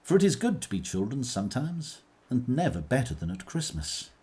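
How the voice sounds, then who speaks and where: A man narrating a classic story in a measured, scripted way. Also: medium pitch, very animated, darkish tone, husky.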